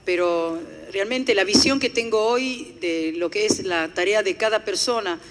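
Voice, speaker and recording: A woman's voice: medium pitch, a little slow. Older Italian woman speaker, earnest lecture tone, echoing hall. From Italy. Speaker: conference speaker. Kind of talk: formal lecture. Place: conference hall. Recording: echoey hall.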